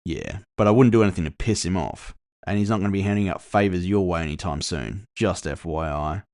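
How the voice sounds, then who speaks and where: Man acting Les, a low, wryly cynical friend. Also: expressive, fairly quick, darkish tone, no room sound, studio quality. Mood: cautioning. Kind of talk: conversational dialogue in cyberpunk audio fiction.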